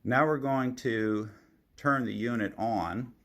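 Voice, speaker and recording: A man narrating a product demonstration as a reviewer, explaining things in a measured, methodical way. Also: medium pitch, fairly bright tone.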